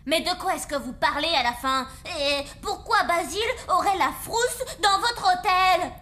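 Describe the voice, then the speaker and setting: A woman voicing a bold, curious human schoolgirl who gets excited easily, in a cartoon. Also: very high-pitched, normal speed, slight background noise, heavily acted. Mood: insistent. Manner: eager, excitable. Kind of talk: cartoon voice acting. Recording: cartoon soundtrack with faint music.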